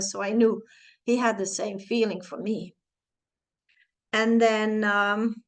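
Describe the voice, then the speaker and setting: A woman in her forties, a native Flemish speaker with a Flemish accent, telling a personal story to camera with halting, searching phrasing and playful sound effects. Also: mid-range voice. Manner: halting, searching for words, many restarts and fillers. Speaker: channel host, life coach.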